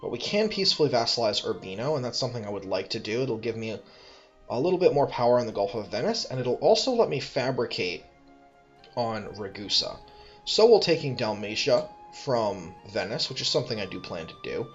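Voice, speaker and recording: A man's voice: medium pitch, sing-song, a little fast, muffled recording, faint music behind. A man thinking aloud as he plays a strategy game, musing, correcting himself and making dry asides. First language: English. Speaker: let's play host.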